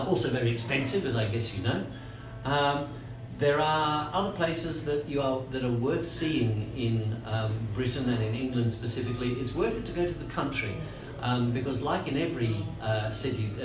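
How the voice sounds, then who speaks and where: Australian man, guest, measured and wry; distant echoey recording, loud traffic behind. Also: medium pitch. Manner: measured, many ums, dry humor. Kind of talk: interview. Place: outdoors by a busy street. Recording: echoey, from afar, street noise.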